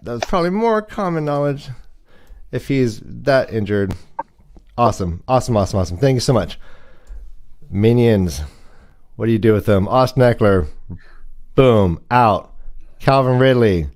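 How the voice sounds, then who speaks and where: A man's voice: medium pitch, lively intonation, moderate pace, pauses often, darkish tone. A man hosting a casual sports talk show, with playful, theatrical emphasis in his delivery. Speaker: host.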